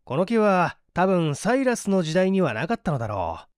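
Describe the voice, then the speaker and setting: Male reader doing a composed gentleman detective, audiobook mystery, higher-set voice, quietly knowing, dry sound. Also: sing-song, smooth, no echo. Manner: measured, gentle, explanatory.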